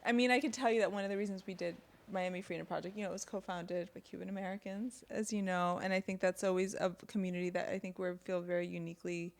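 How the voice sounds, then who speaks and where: A woman hosting a community radio interview, talking in a loose, chatty way and thinking out loud as she goes. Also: lowish voice, steady tone, quick pace, creaky, hardly any pauses. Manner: thinks aloud, warm and chatty. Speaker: radio show host. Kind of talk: casual interview conversation.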